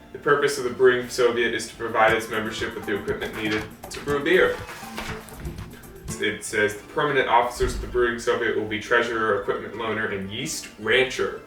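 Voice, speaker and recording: A man giving an informal history talk, reading from old documents in a halting, amused way. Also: lowish voice, bright, forceful tone. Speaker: host of a co-op history series. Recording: echoey room, distant mic, faint music.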